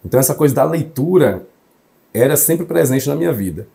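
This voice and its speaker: A man's voice: mid-range voice, animated, fairly quick, creaky, warm tone. A young man from Salvador, a journalist guest telling his story fluently and reflectively in an interview. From Salvador, Bahia, Brazil. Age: young adult. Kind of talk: interview conversation.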